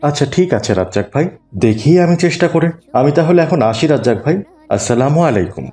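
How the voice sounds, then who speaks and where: A man's voice: medium pitch, animated, fast talker, dark tone, natural voice. A man playing a kindly, pious older neighbour who gives patient, earnest advice in an Islamic cartoon. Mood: concerned, reassuring.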